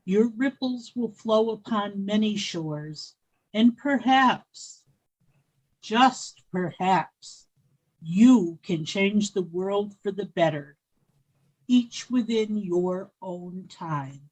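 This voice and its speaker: A woman's voice: medium pitch, animated, drawn-out words, darkish tone, poor audio. A woman voicing a solemn, wise female spirit in a community radio play, speaking in grave pronouncements.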